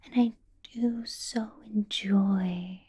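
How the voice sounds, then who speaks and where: Woman doing a vampire boss lady for asmr, super breathy whisper, really slow, silky sweet but kinda menacing. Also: medium pitch, steady tone, warm tone, heavily acted. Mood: fond, gracious. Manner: slow, drawn-out, coaxing, lightly menacing politeness. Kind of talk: ASMR roleplay, whispered personal attention.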